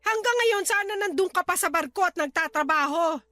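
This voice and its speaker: An actress playing a sharp-tongued, money-minded middle-aged wife and mother, scolding bluntly in a radio drama. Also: very high voice, brisk, no echo. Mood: nagging, scornful. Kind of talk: Tagalog radio drama acting.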